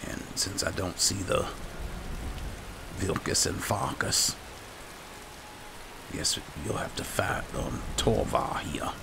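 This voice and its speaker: Very deep, gravelly man. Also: noisy background. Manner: halting, low-key, informal. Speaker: gaming host, roleplaying as a guild leader. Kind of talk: casual improvised in-character gameplay narration.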